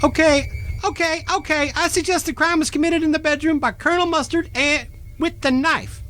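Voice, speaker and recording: A woman's voice: high voice, steady tone, moderate pace, a bit bright. A woman playing a playful, teasing young camp counselor in an amateur radio play. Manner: mischievous, teasing. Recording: faint music behind the voice.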